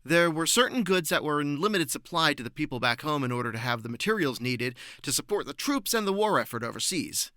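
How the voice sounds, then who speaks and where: A man giving prepared, articulate answers as an interview guest, informative and measured in his phrasing. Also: fairly high pitch, animated, pushed and bright, no echo, studio quality.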